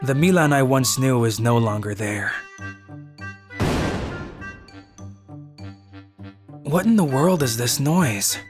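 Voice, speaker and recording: A woman acts a meek, awkward teenage boy telling his own manga story. The voice is low and hesitant, with music clearly playing behind it. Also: expressive, moderate pace. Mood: downcast, reflective. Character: timid, socially awkward high school boy narrator. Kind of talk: first-person manga story narration.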